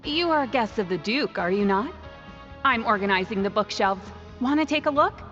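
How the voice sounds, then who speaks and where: A woman's voice: fairly high pitch, expressive, moderate pace, fairly bright tone, long reverb tail, lo-fi sound, soft background music. An actress playing a friendly, content female prisoner in a video game, explaining things in an easygoing way. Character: friendly, content female prisoner and club member tidying books. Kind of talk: video game dialogue acting.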